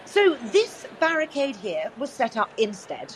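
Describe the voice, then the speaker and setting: Audio drama tour guide, female actor: presentational patter, high voice, sing-song lively range. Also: unhurried, poor audio. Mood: flustered. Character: polished museum tour guide, easily flustered. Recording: faint background ambience noise.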